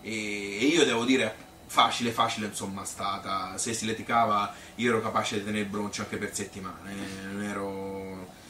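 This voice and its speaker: In a roomy, distant and slightly noisy recording, a man plays a Tuscan mother looking back on married life in a theatrical monologue. The voice is on the low side, and the talk is colloquial, hesitant and wandering, often questioning itself. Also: level tone, moderate pace, close to an everyday voice. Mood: matter-of-fact, resigned. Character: plain-spoken Tuscan mother reminiscing about marriage and pregnancy.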